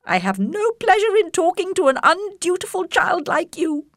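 A woman voicing a shrill, self-pitying middle-aged mother who complains loudly and dramatically. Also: very animated, moderate pace, no echo, studio quality, full character voice. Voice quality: shrill, whining. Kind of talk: audiobook character voice.

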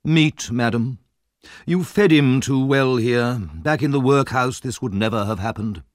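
A man's voice: medium pitch, measured pace, no echo, studio quality, natural voice. Man acting a pompous, stern parish official. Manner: pompous, indignant. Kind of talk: storybook narration character.

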